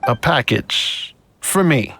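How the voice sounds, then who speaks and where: Slow, withdrawn scholar. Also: male voice, medium pitch, lively intonation, pushed and bright, a little hiss or hum, heavily acted. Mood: subdued, uneasy. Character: anxious, withdrawn young male scholar, weary and gloomy. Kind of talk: video game voice acting.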